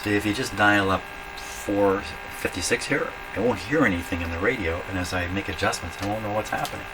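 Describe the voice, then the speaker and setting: Deep voice guy tinkering and thinking out loud, halting, informal repair demo, really noisy humming workshop. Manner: thinking aloud while working, halting. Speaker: radio repair hobbyist demonstrating. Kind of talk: informal hands-on repair demonstration. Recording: loud mains hum and workshop noise.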